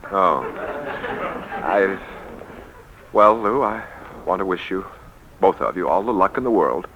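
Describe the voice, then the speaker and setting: An actor playing a flustered, lovelorn businessman in 1940s radio drama style, with hesitant, halting delivery. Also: deep voice, animated, muffled recording. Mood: awkward, resigned. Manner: hesitant, halting when emotional. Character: flustered, lovelorn businessman, impatient boss, adult man. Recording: noisy vintage 1940s radio recording.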